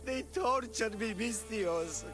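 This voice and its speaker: An actor playing a guilt-ridden, shaken old caretaker who speaks slowly and haltingly after torture. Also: male voice, high voice, over music. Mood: grieving. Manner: slow, halting, mournful. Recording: orchestral game score underneath.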